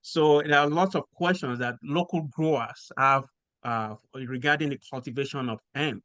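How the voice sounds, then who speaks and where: A man from Nigeria with a Nigerian accent, explaining things in a measured way with frequent pauses as a podcast guest. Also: medium pitch, fairly bright tone, no room sound. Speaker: guest researcher. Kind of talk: podcast interview.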